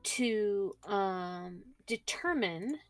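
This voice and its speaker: A woman co-streaming, talking casually in a halting way with many ums and pauses. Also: medium pitch, lively intonation, dry recording, faint music behind. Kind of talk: casual chat on a writing stream.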